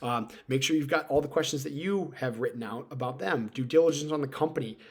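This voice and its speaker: Male career coach host, medium pitch, informal teaching delivery, emphatic with repeated key points. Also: fast talker, husky. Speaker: career advice video host. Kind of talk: informal instructional talk to camera.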